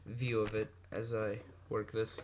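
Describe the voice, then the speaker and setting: A man's voice: on the low side, completely flat delivery, unhurried. A man giving a casual, unscripted show-and-tell as a hobbyist presenter, rambling off the cuff with asides and self-corrections. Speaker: hobbyist video presenter showing vintage electronics. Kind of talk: casual unscripted product demonstration. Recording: noisy amateur recording with clicks.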